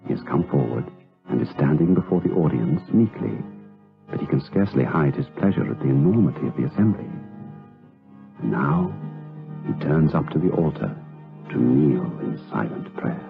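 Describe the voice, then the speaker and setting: Solemn gothic narrator played by a man, grave and measured, muffled narrow sound under music. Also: very deep voice, lively intonation, gravelly, full character voice. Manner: grave, measured, ornate delivery. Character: solemn, rapt male narrator of a gothic sermon scene. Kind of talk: scripted audio drama narration.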